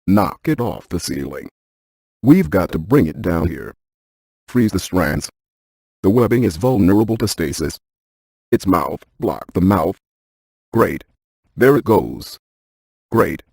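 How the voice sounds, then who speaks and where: A cautious, intellectual ghost-hunting scientist for a video game. The voice is low and mellow with a husky, gravelly grain. He stays deadpan and exact, as if reading out instrument data. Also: takes it slowly, pauses often, dry recording, heavily acted. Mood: urgent. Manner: deadpan, precise, technical. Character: brainy, deadpan paranormal scientist man, cautious and precise. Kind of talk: scripted video game character lines.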